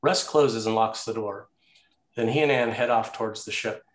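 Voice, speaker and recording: A man's voice: fairly low pitch, moderate pace. Man narrating a screenplay, roomy echo. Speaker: narrator reading stage directions. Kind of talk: scripted screenplay narration at a table read. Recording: echoey, roomy sound.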